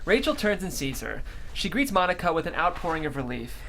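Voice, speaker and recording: A woman's voice: fairly low pitch, sing-song, a little fast, a bit bright, a little hiss or hum. A woman hosting a script table read and reading out the stage directions. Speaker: host and stage-direction reader.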